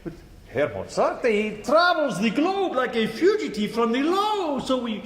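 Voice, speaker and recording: Very high-voiced officious servant played by a man. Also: wildly swooping pitch, measured pace, fairly bright tone, exaggerated voice. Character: formal, officious servant in a period comedy. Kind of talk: theatrical period stage comedy. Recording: echoey theatre stage recording.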